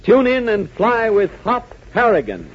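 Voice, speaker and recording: A man's voice: high voice, wildly swooping pitch, unhurried. A man with an American accent announcing in dramatic, emphatic 1940s radio style. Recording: muffled, hissy 1940s radio recording.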